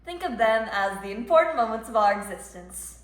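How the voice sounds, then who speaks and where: Woman performing a desperate mother, high, echoey. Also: lively intonation, natural voice. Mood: wistful, reflective. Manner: emphatic, halting delivery with heavy pauses. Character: frantic mother of a middle schooler, desperate and grieving. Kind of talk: dramatic interpretation monologue. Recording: echoey room, voice ringing off walls.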